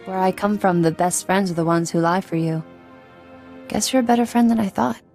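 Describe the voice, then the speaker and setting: A woman's voice: mid-range voice, brisk, a little mellow, soft background music, close to an everyday voice. A woman playing a tough, lonely outlaw in a video game, plain-spoken and guarded. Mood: wistful, fond. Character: tough, lonely female outlaw with a soft spot.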